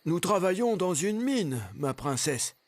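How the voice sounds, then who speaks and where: A man's voice: sits high, big pitch swings, unhurried, dry recording. A man playing a learned, worried dwarf leader in a children's cartoon, explaining things in a slightly fussy way. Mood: grave.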